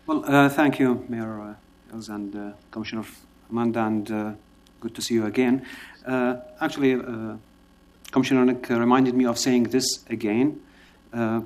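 An Iraqi-American man speaks at a council meeting. He pauses often and fills gaps with uh as he makes his case earnestly. His voice sits in a middle pitch. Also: fairly even intonation. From Iraq. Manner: halting, many filler uhs, earnest. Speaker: public testimony speaker. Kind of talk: public testimony at a city council. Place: council chamber.

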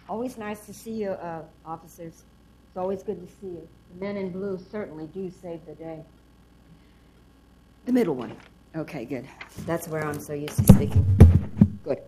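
An older woman with a hoarse, froggy voice giving rambling public comment at a council hearing. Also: sits low, slight background noise, low-quality recording. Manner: rambling, chatty. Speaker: public testifier.

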